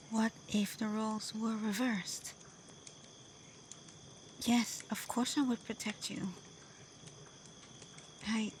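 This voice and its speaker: A woman playing a gentle, devoted kitsune in love in an intimate ASMR roleplay, speaking tenderly and hesitantly, often dropping to a breathy whisper. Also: medium pitch, slight background noise, close to an everyday voice. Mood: anguished, earnest. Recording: faint cricket and night insect ambience.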